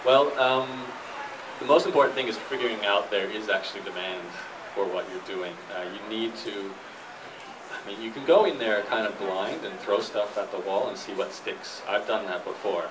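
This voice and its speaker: A man's voice: on the low side, moderate pace, far from the mic. A man giving an informal, explanatory talk and answering questions as a guest speaker in a noisy live room. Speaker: guest speaker, internet entrepreneur and blogger.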